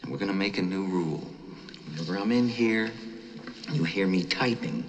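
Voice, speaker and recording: A man's voice: deep voice, moderate pace. An actor playing an irritable, unhinged man, speaking with sarcastic, profane emphasis in a film scene. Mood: seething sarcasm. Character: irritable, unhinged husband and writer. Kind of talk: film acting. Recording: distant film audio, faint noise.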